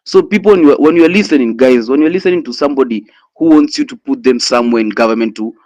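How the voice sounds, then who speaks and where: A man's voice: mid-range voice, rapid-fire, darkish tone, no room sound. Young Kenyan man, passionate activist. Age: 20s. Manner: switches between English and Swahili, with many "you know" fillers and restarts. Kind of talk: impassioned public speech.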